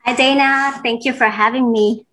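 A woman from Denmark speaking as an interview guest, casual and reflective, often pausing with "you know". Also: on the high side, fairly even intonation, fairly bright tone, low-quality recording.

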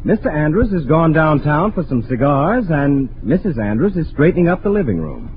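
High-pitched 1940s radio announcer, a man. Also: very animated, a little fast. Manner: polished, presentational. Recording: muffled 1940s radio, faint noise.

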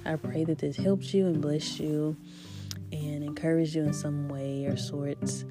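Deep raspy lady podcaster, guitar music loud behind her, slow faith talk. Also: fairly even intonation. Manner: rambling, faith-filled, soft laughs. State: recovering voice after illness. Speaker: podcast host. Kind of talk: casual spiritual podcast chat.

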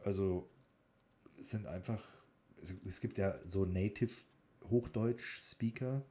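Low-voiced, mellow young man chatting. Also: fairly even intonation, slow pace. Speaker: podcast co-host. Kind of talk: casual chat.

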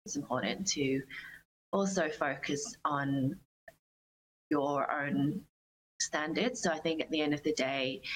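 A woman's voice: low voice, a bit bright, a little hiss or hum, poor audio. A woman giving reflective, earnest interview answers as a guest musician, phrasing her thoughts carefully. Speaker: guest, singer-songwriter.

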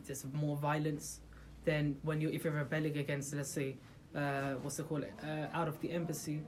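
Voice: mid-range voice, darkish tone, a little hiss or hum, poor audio. A speaker giving an informal religious talk to a small gathering in a room, asking the listeners rhetorical questions and often restarting sentences. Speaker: religious talk leader for a group.

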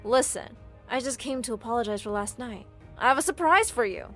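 A woman's voice: on the high side, expressive, fairly quick, fairly bright tone, faint music behind. A woman doing a smarmy, pushy young guy. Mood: wheedling.

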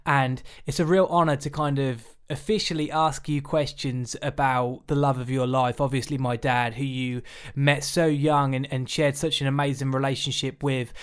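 A man's voice: mid-range voice, steady tone, normal speed, clear, resonant tone. A young man hosting a podcast, asking questions with enthusiasm and plenty of "you know" and "like". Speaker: podcast host. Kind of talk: casual interview.

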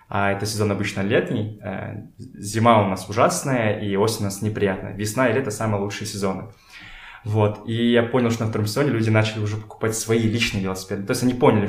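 Young man, lowish pitch, casual storytelling, informal slangy phrasing, thinking aloud, roomy home echo. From Kokshetau, Kazakhstan. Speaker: podcast guest, photographer and art director. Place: indoors in a home. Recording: echoey room.

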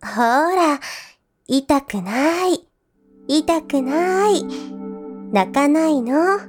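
A woman as a gentle big-sister girl, high and sing-song. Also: expressive, very slow, full character voice. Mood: tender. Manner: tender, coaxing, storytelling tone. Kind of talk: voice drama. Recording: gentle new-age music behind. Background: new-age music.

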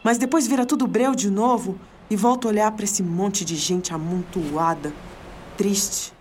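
A woman's voice: mid-range voice, a little slow, darkish tone, natural voice. A woman playing an anxious, weary, alienated commuter in an introspective, confessional audio drama monologue. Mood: weary unease. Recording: clear background noise with ambient sound.

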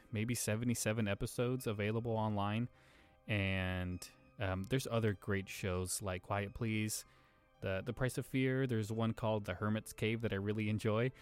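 A man hosting a podcast, talking casually and informally to his listeners. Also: fairly low pitch. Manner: informal, chatty. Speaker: podcast host. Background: music.

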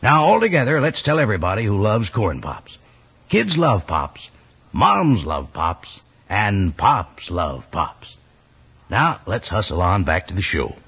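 An actor playing a folksy, enthusiastic cowboy sidekick who pitches a product in a 1950s radio advertisement, with an American accent. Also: male voice, big pitch swings, a little slow, a bit bright, breathy edge, full character voice. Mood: chummy, enthusiastic. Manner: chatty, drawling, folksy. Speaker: commercial announcer. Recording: muffled old radio recording.

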